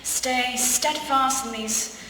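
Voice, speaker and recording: A woman's voice: sits high, takes it slowly, bright, forceful tone, heavily acted. A woman playing an oily, theatrical and awkward schoolboy wizard with florid, affected speech in a comic amateur play, recorded in a very echoey hall. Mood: awkward, earnest. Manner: florid, affected, stammering when flustered. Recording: very echoey hall, distant mic, faint noise.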